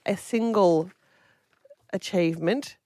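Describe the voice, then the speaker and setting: A woman with an Australian accent co-hosting a morning radio show, chatty and quick-witted as she guesses quiz answers. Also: lowish voice, mellow, dry recording, clean studio sound. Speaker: radio breakfast co-host. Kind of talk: casual on-air banter. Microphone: dry studio mic.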